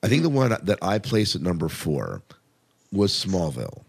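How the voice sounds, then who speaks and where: A man's voice: sits low, huge pitch swings, moderate pace, creaky, husky. A man co-hosting a podcast, casually reviewing TV in an enthusiastic, conversational way and repeating phrases for emphasis. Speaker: podcast co-host.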